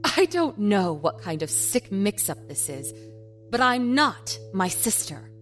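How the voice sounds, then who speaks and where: A woman playing a tense married woman feigning calm on the phone in an audio drama, guarded yet reassuring. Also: medium pitch, takes it slowly, faint music behind.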